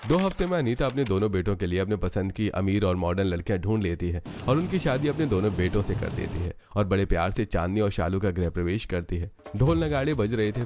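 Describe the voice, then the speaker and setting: Low-pitched male story narrator. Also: darkish tone.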